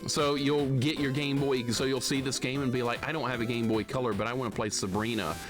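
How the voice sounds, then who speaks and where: Male live streamer, mid-pitched, griping sarcastically through casual gameplay chat over prominent game music. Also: steady tone, a little fast. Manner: grumbling, sarcastic complaining. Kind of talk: casual gaming commentary.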